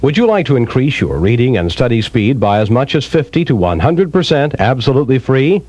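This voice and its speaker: A man's voice: mid-range voice, warm tone, no echo, dull audio. A man reading a scripted radio advertisement as its spokesman, in a 1970s commercial recording.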